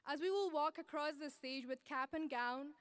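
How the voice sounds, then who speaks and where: A young woman from Afghanistan giving an earnest, measured graduation speech as the student speaker at a podium microphone. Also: high voice, a bit bright. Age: young adult. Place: large ceremony venue.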